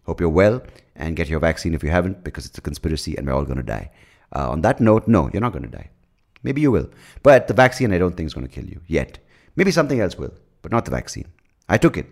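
A man's voice: deep voice, big pitch swings, fast talker, creaky. A man hosting his own podcast, talking alone in a blunt, profane, sarcastic way, rambling through asides and jokes. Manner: breaks into mocking shouts and laughs at his own jokes. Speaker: podcast host. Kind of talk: casual solo podcast monologue.

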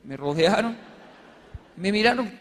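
A man from Colombia with a Colombian accent, giving a humorous storytelling talk as a motivational speaker, acting out little dialogues in an animated manner. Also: sits high, measured pace, long reverb tail, slight background noise. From Colombia, with Japanese background. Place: large hall with live audience.

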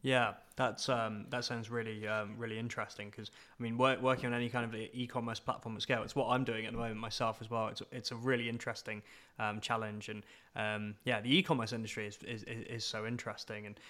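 A man's voice: on the low side, level tone, fast, pushed and bright. A man hosting a podcast, chatting eagerly and stumbling over repeated words. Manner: enthusiastic, stammering repeats. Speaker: podcast host. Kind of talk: casual interview chat.

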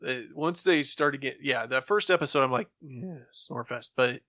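A man talks casually as a podcast co-host, his voice bright and crisp-edged in a dry, close space. He reviews stories in slangy language and slips into imagined reactions to make his points. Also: mid-range voice, normal speed, dry recording. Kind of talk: casual review chat about old-time radio.

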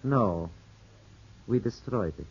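A polite, insinuating gentleman in a fifties radio play. His voice is lowish and husky, his manner courtly and measured. The sound is a muffled old broadcast. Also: expressive, exaggerated voice. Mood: courteous, insinuating. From Italy. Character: suave, insinuating Italian gentleman, polite and sly. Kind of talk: 1950s radio drama acting. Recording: dull 1950s radio broadcast.

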